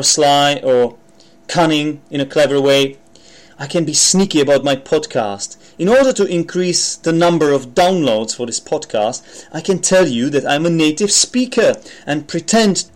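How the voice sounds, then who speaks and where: A man from the Czech Republic with a Czech accent, an English teacher explaining idioms on his podcast in a chatty way, with many fillers and restarts. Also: a little high.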